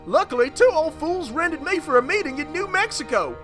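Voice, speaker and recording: A man's voice: very high-pitched, sing-song, a little fast, a bit bright, soft background music, full character voice. A man voicing a gleeful, theatrical horror host introducing a comic with hammy, ominous puns. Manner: hammy, punning, grandly ominous.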